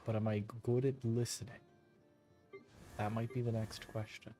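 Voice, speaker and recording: A man's voice: fairly low pitch, level tone, normal speed, mellow, faint music behind. A man giving casual, rambling live commentary while streaming a game, muttering to himself.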